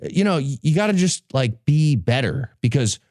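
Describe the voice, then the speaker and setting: A man's voice: mid-range voice, lively intonation, normal speed, darkish tone, no echo, studio quality. A man hosting a comedy podcast, giving a casual, sarcastic and irreverent book recap. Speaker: podcast host presenting a book summary.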